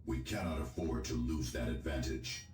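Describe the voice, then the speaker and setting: An actor playing a solemn, dutiful military tech officer, briefing formally and steadily in a video game. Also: male voice, rumbling low, roomy sound. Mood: concerned. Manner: formal, measured.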